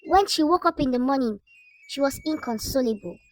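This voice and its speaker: A woman narrating a scripted folktale as a storyteller, in a measured, dramatic way. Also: high voice, expressive.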